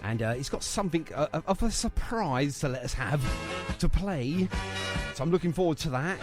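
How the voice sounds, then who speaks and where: A man's voice: on the high side, expressive, moderate pace. A man hosting a radio show in a chatty, jovial, bantering manner. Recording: music playing underneath the voice. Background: music bed.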